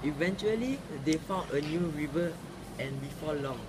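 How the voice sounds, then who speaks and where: A man's voice: on the high side, animated, moderate pace. A man reading a folk tale aloud. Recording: clearly noisy recording with ambient sound.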